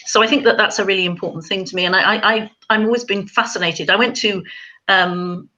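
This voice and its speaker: Bright-voiced woman, hesitant, lo-fi call. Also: mid-range voice, a little fast. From Wales. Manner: hesitant, many ums and restarts. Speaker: crime writer, panelist. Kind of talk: casual panel chat.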